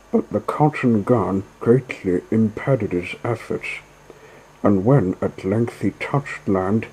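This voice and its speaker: A man's voice: on the low side, dark tone, a little hiss or hum, low-quality recording. A man reading a classic children's story aloud as a scripted narration.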